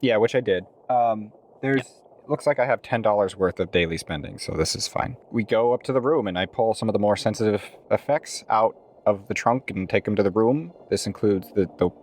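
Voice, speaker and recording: A man's voice: lowish voice, fairly quick, darkish tone, slight background noise. A man playing a tabletop role-playing game, casually describing what his character does.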